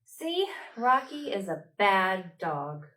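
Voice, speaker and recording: A woman's voice: mid-range voice, big pitch swings, slow pace, bright, forceful tone, distant mic, rough recording. A woman hosting storytime as a children's librarian, reading aloud and talking to small children in simple short phrases.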